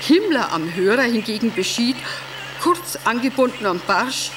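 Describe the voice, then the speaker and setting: An actress playing a hysterical, devoted Nazi-era wife and vain former stage star in a theatrical monologue, declaiming with drawn-out, melodramatic phrasing. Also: female voice, on the high side, very animated, noisy background. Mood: indignant.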